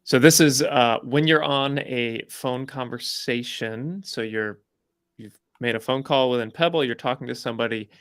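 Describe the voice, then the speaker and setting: Guy explaining new software features, dry no-echo audio, average pitch, measured and informal. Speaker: co-presenter from a software company.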